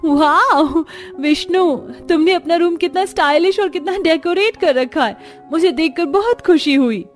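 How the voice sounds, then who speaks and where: A woman's voice: extremely high pitch, unhurried, soft background music, pitched above the performer's own voice. A woman voicing a wide-eyed, hopeful young bride in a cartoon story, gushing and eager. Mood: thrilled.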